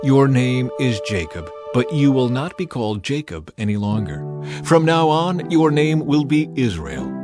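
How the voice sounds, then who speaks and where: A man's voice: mid-range voice, sing-song, unhurried, music in the background, voice pushed up. A man voicing God Almighty as a solemn, commanding, stately figure in a scripture audiobook.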